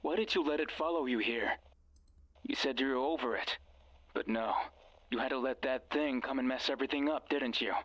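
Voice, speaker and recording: An actor playing a sinister, manipulative mysterious voice on a radio, bitter and commanding, narrating a horror game in the second person. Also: male voice, mid-range voice, normal speed, a bit bright, husky, no room sound. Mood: accusing, menacing.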